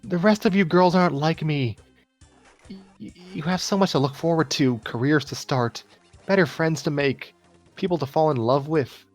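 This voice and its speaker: A man voicing a wistful, self-doubting young woman, a former idol, in a halting story reading with stumbles and repeats. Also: a little high, faint music behind, pitched above the performer's own voice, close to an everyday voice. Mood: regretful. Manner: halting, repeats and restarts lines. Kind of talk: dramatic fan fiction reading.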